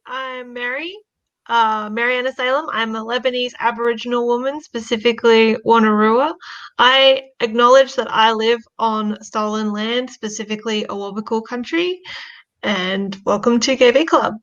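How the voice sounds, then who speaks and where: A woman's voice: fairly high pitch, moderate pace, a bit bright. A woman co-hosting a podcast in casual chat, with a playful, mock-serious manner and a slightly dull, lo-fi sound. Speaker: podcast co-host.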